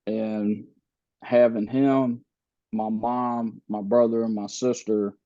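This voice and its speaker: A man in his thirties, born in the Philippines and raised in the US, telling his life story slowly with many pauses and fillers. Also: fairly low pitch, level tone, mellow, dry recording, dull audio. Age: 30s. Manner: slow, halting, many fillers and restarts. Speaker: podcast guest.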